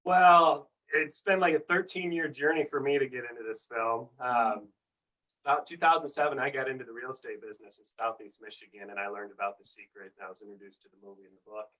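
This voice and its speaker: A man's voice: medium pitch, fairly even intonation, quick pace, pushed and bright, far from the mic, low-quality recording. A man telling his own story as a guest in casual chat, earnest and rambling, stumbling over repeated words.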